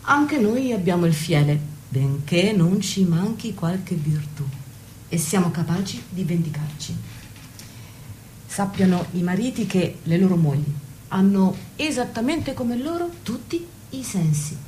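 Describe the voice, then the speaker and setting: An actress playing a worldly, wry maid and confidante in a radio play, knowing, deliberate and defiant. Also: female voice, lowish voice, lively intonation, a little mellow, slight background noise. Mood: bitter, defiant. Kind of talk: stage-play dialogue and monologue.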